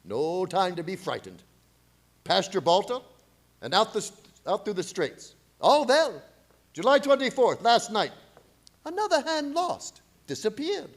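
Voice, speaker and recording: A man playing a grim, fearful foreign ship captain in a radio play, reading his log in clipped, halting broken English. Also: high voice, very animated, heavily acted. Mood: grim, terse. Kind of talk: dramatic radio play narration.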